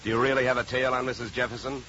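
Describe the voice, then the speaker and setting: An American actor playing a tough, wry private investigator in a 1950s radio drama, with a dry, controlled, sardonic delivery. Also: male voice, mid-range voice, level tone, very fast, sharp tone, lightly acted. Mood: suspicious, pressing. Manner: hard-boiled, dry, controlled. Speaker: lead actor and first-person narrator. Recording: muffled, noisy 1950 radio recording.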